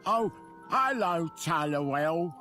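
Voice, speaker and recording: A man's voice: on the high side, lively intonation, slow pace, sharp tone, faint music behind, exaggerated voice. An actor playing a helpful, simple-minded townsman in an adventure game, with an obliging, guileless manner. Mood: friendly. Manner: obliging, simple, third-person self-reference.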